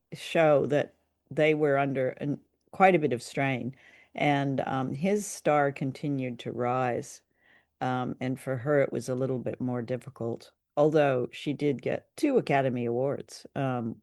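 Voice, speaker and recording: A woman co-hosting a conversational review show, speaking in measured, hesitant phrases. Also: very deep voice, fairly even intonation, darkish tone, dry recording, clean studio sound. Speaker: podcast co-host. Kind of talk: conversational radio drama review.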